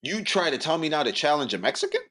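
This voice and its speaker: A man's voice: a little high, big pitch swings, fast talker, bright, forceful tone. A young man, telling stories bluntly and building up jokes on a podcast. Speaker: podcast co-host. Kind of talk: casual storytelling chat.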